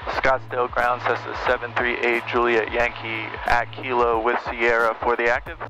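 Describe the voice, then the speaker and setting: A man's voice: mid-range voice, monotone, moderate pace, music in the background. An American man in his twenties making clipped pilot radio calls through an aviation headset in a small plane. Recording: dull cockpit headset audio.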